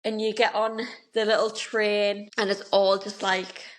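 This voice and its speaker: A woman's voice: medium pitch, little pitch movement, a little slow, a little hiss or hum, poor audio. A young woman, co-hosting a podcast in casual, bubbly chat. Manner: chatty, bubbly, gushing. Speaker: podcast co-host.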